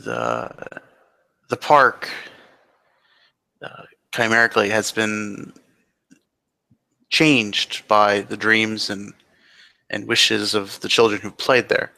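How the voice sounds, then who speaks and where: Man playing a brooding, gravelly, low-voiced dreamer. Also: lively intonation, bright, forceful tone, heavily acted. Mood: wistful. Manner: halting, musing. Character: brooding, preoccupied man, cigarette in mouth, gently wistful. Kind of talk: improvised in-character roleplay dialogue.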